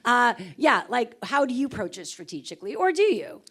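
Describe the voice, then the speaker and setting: A woman's voice: on the high side, sing-song, brisk, a bit bright, breathy edge. A woman moderating a summit panel in a casual way, with lots of fillers. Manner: casual, many fillers like "you know".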